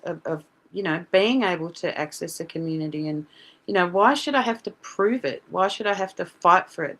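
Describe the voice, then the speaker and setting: A middle-aged woman with an Australian accent, speaking as a podcast guest in an earnest, animated way with many restarts. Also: lowish voice. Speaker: guest, parent advocate. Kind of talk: casual podcast interview.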